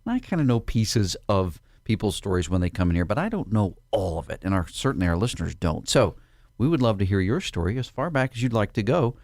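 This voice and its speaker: A man's voice: fairly low pitch, fast talker, dry recording. A man hosting a studio interview podcast, inviting his guest to share her story and encouraging her with short replies. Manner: lively, encouraging, frequent backchannels. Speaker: podcast host. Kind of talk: casual interview.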